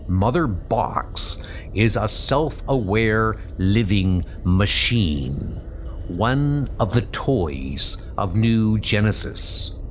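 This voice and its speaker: A man's voice: lowish voice, a little hiss or hum. A man voicing a haughty, grave godlike scientist in a comic book dub, with a pompous, formal, declamatory delivery. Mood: condescending.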